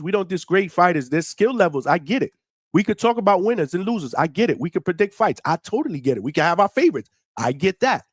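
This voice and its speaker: A man's voice: sits high, no room sound, clean studio sound. Slangy, hyped New man hosting. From New York City, United States. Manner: slangy, hyped, shouting out viewers, swearing freely. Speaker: host of a live boxing call-in show. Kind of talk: casual livestream chat with viewers.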